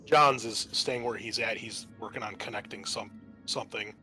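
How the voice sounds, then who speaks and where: A man working as game master, improvising narration for a tabletop roleplaying game in an informal, conversational, halting way. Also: fairly low pitch, sharp tone. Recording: music behind the voice. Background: music.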